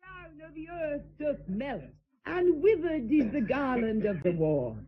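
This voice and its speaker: Theatrical vain hostess, grand and arch, widely swooping pitch, slow drawn-out delivery, dull radio play recording. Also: female voice, sing-song. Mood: grandly tragic. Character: theatrical, vain society hostess and mistress, flamboyant and earthy. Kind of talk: stage-style radio drama acting.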